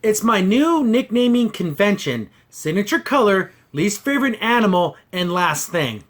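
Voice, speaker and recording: A man's voice: sits high, big pitch swings, moderate pace. A man hosting a casual review chat, recapping a cartoon in an exasperated, scornful manner. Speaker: podcast host.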